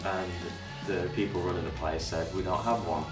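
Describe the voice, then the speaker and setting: A twenty-two-year-old man, recounting things relaxed and hesitantly in an interview. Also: low voice, normal speed. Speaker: band's bass player. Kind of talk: documentary interview. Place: band practice room. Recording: echoey room, far from mic, music behind.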